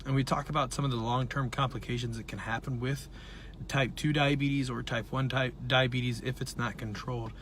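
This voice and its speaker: A man who is a dietitian and nutrition professor, talking casually and earnestly to his viewers while his voice is raspy from laryngitis. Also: mid-range voice, fairly bright tone, slight background noise. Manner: earnest, conversational, with frequent fillers. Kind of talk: casual talk to camera.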